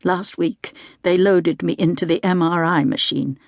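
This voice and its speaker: A woman narrates a literary audiobook in the first person. Her voice has a gravelly creak and is recorded close and dry. The delivery is measured and deliberate. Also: fairly low pitch, steady tone, dry recording.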